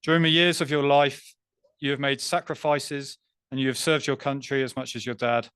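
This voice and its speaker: A man serving as master of ceremonies, reading formal announcements in a measured way. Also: mid-range voice, little pitch movement, fairly bright tone, smooth, dry recording.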